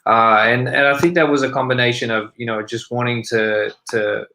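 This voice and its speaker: A man's voice: mid-range voice, steady tone, moderate pace, bright, forceful tone. A young man with an Australian accent, a native Punjabi speaker, talking reflectively as an interview guest and often circling back with "you know" and restarts. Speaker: guest musician. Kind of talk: casual interview chat.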